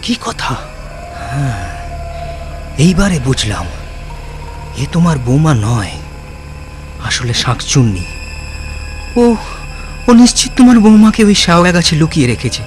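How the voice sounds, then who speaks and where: An earnest, anxious village son from a children's cartoon. His delivery is urgent and halting, with a lively, swinging pitch and music playing behind. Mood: puzzled. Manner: urgent, stammering repetitions. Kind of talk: Bengali children's cartoon acting.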